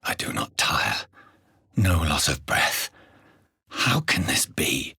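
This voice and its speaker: A man playing a grave, courteous vampire physician in a video game, with a British accent and a formal, measured, theatrical delivery. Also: rumbling low, very animated, pushed and bright, breathy edge, no echo, clean studio sound, heavily acted. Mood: brooding, ominous. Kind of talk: dramatic video game voice acting.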